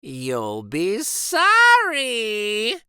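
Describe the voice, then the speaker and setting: A man voicing a scary, strict witch of a schoolteacher, hissing and snapping menacing drawn-out commands in a children's storybook. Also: sits high, wildly swooping pitch, sharp tone, clear, resonant tone, dry recording, studio quality, voice pushed up, heavily acted. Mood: stern threatening.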